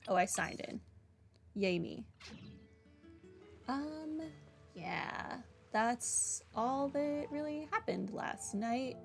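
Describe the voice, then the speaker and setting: A woman's voice: on the high side, expressive, extremely slow, gravelly, long pauses. A woman streamer giving casual, rambling game commentary in a dry, wry manner. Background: game music.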